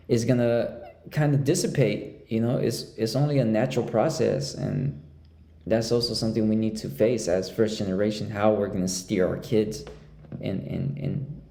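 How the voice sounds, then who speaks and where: A young man hosting a casual interview, talking in halting phrases with many restarts. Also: fairly low pitch, vocal fry, dark tone, roomy sound. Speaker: podcast host.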